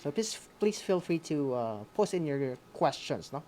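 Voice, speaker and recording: Filipino man co-hosting, halting and filler-filled. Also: on the high side, very animated, warm tone, slight background noise. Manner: halting, frequent filler words and tag questions. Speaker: radio school co-host. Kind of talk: casual hosting of an online class, code-switching.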